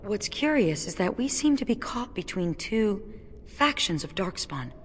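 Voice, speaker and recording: Fatalistic dwarf fighter, earnest, wry, medium pitch, video game dialogue. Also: female voice, sing-song, measured pace, faint music behind. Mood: grave, thoughtful. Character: stern, fatalistic dwarf woman warrior of a doomed legion.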